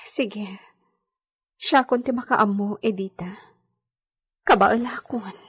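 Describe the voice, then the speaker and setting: A woman with an Ilocano accent playing a frail, sick, grateful young lady in a radio drama, her voice weak and breathy. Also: mid-range voice, slow, natural voice.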